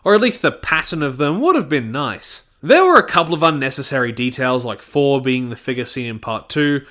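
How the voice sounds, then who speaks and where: A man's voice: mid-range voice, big pitch swings, brisk, pushed and bright. A man giving a scripted review in an opinionated way, with jokey asides. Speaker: audio drama reviewer.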